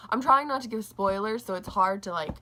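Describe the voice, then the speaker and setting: A young woman talks through a book review in loose, rambling vlog chat. Her throat is a little scratchy, giving her middle-pitched voice a slight gravel. She circles back on herself, piling up fillers and restarts. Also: a little fast, a bit bright. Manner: rambling, says like a lot, restarts often.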